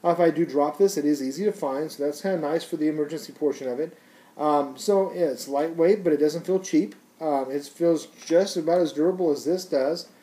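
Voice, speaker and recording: Man, slightly high pitch, soft mellow tone, slangy, conversational, rambling product reviewer. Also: fairly quick, low-quality recording. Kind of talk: casual unscripted product review.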